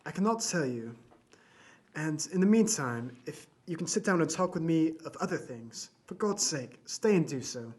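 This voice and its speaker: An embittered old doctor nearing death , acted by a man for an audio drama. His voice sits a little high, and he speaks formally and with emphasis, tired of living. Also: expressive, moderate pace, a little mellow, close to an everyday voice. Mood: bitter, agitated. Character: dying, embittered old male doctor, weary of life.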